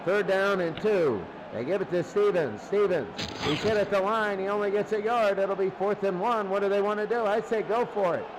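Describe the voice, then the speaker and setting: High-voiced man calling football over noise. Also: moderate pace, hardly any pauses. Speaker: play-by-play sports commentator. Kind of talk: American football radio-style play-by-play commentary.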